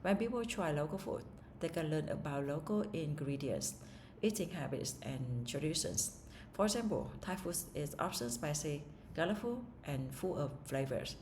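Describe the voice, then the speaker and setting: A woman's voice: deep voice, animated, moderate pace, darkish tone, a little hiss or hum. A woman whose first language is Vietnamese, practicing English speaking-test answers aloud, asking herself the questions and answering with hesitations and restarts.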